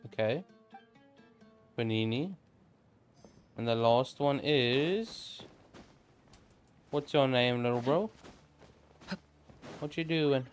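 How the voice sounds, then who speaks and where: A man's voice: medium pitch, extremely slow, long pauses, faint music behind. A man doing casual, slangy gameplay commentary, reacting off the cuff as a streamer. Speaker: gaming streamer.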